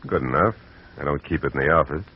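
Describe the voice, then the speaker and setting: A man's voice: extremely low pitch, fast, breathy edge. A man playing a hard-boiled private detective who narrates in a terse, wry way in an old radio drama. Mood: dry. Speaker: narrator and lead. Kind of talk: 1940s radio acting. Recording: muffled old radio recording with loud hiss.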